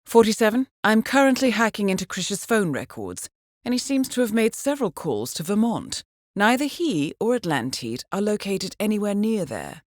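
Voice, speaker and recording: A woman's voice: medium pitch, big pitch swings, moderate pace, darkish tone, no room sound, natural voice. A woman playing a composed intelligence handler in a video game, briefing an assassin formally and with dry wit. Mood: cool, businesslike. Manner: composed, formal, dryly witty. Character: poised female intelligence handler briefing an assassin, cool and wry. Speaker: mission handler.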